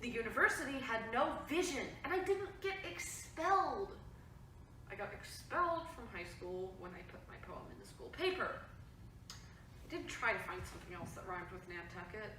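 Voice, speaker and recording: A woman's voice: on the high side, expressive, normal speed, pushed and bright, a little hiss or hum. A woman playing a sarcastic, rebellious young artist. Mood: defiant. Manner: sarcastic, dramatic, dismissive. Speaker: actor in a staged reading. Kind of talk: virtual staged reading. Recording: echoey room, mic far off.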